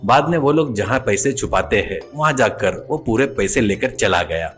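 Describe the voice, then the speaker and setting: A man's voice: lowish voice, expressive, normal speed, soft background music. A man narrating a scripted cartoon story as the storyteller.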